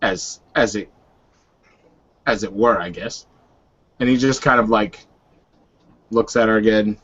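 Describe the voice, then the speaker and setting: A man narrating a tabletop roleplaying session as game master, speaking in a halting, hesitant way. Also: on the low side, fairly bright tone, slightly rough, muffled recording.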